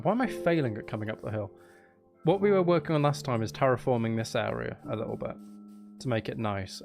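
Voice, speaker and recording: Animated, playful man streaming games. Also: medium pitch. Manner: playful mock-dramatic, self-deprecating. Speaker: streamer hosting a live game stream. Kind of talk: casual chatty gaming livestream talk with viewers.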